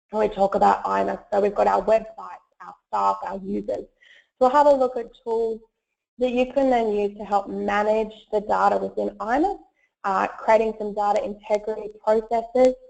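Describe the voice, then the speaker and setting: A woman with a croaky voice from a cold, giving an online presentation with frequent fillers. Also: mid-range voice, steady tone, moderate pace, a little mellow. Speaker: webinar presenter, solutions consultant. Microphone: web conference audio. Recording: muffled lo-fi web call audio.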